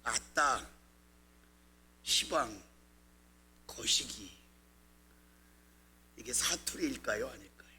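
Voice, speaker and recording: A man's voice: medium pitch, expressive, takes it slowly, long pauses, sharp tone, slight background noise. A male pastor giving a Sunday sermon, asking the congregation questions as he explains the text. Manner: conversational preaching with questions to the congregation.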